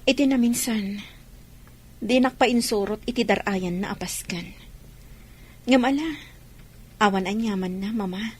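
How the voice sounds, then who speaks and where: A woman's voice: medium pitch, measured pace, pauses often, darkish tone, breathy edge. An actress with a Filipino accent playing a weary, hurt wife and mother in a radio drama. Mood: weary, aggrieved. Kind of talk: Ilocano radio drama acting.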